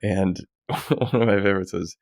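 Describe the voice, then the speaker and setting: A man with a very low, gravelly voice tells easygoing stories on a home podcast mic and drops dry quips. The sound is close and dry, with no room around it. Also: normal speed, darkish tone, no room sound. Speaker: podcast co-host. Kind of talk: casual chat.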